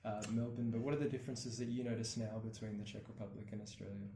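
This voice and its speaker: A man asking interview questions in a brisk, casual way with fillers. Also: lowish voice, steady tone, mellow, echoey room, slight background noise.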